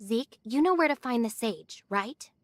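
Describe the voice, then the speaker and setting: A woman voicing a gentle, reassuring young woman with a polite, earnest manner in an English anime game. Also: fairly high pitch, lively intonation, a little slow, no room sound, clean studio sound. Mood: encouraging. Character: gentle, reassuring young woman returned home after suffering.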